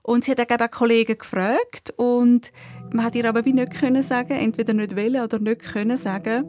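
A woman's voice: a little high, steady tone, fast talker, a little mellow, soft background music. A woman with a Swiss German accent, co-hosting a podcast and casually retelling a listener's story. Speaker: podcast co-host.

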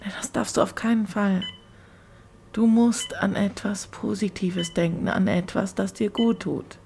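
A woman's voice: mid-range voice, a little slow, creaky, dark tone, a little hiss or hum. An actress playing a firm, caring woman who instructs and scolds a patient in radio play dialogue. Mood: concerned, scolding. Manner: direct, instructing. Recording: music behind the voice.

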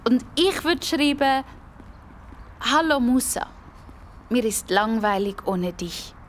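A woman's voice: a little high, animated, takes it slowly, a little hiss or hum, voice pushed up. A woman voicing an eager, plucky young girl in a children's story. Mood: playful, earnest.

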